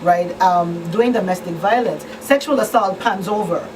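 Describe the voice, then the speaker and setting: A woman's voice: on the low side, moderate pace. A woman talking conversationally in a panel discussion, explaining her points and often checking that listeners follow. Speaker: talk show co-host and victim advocate. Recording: distant mic, faint background noise.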